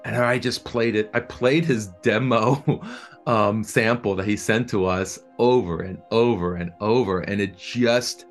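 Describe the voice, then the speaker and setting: A man's voice: on the low side, measured pace, faint music behind. A man being interviewed as a producer, talking earnestly and enthusiastically, often repeating words as he searches for his phrasing. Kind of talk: reflective interview talk.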